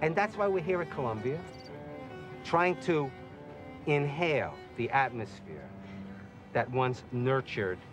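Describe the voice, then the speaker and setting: A man's voice: medium pitch, animated, unhurried, long pauses. Man lecturing as a guide, music behind. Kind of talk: explanatory talk to a group.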